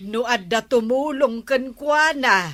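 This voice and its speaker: A woman's voice: a little high, takes it slowly, a bit bright. A woman with an Ilocano accent playing a firm, admonishing village woman in a radio comedy drama.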